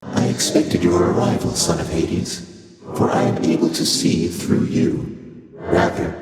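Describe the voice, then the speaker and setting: A man's voice: low voice, fairly even intonation, slow. A male actor playing an ancient, detached god of the void in a video game, speaking in stately, formal, archaic phrases with otherworldly processing. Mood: calm, appraising. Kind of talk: video game voice acting, measured formal monologue. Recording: cavernous reverb under loud music. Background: loud music.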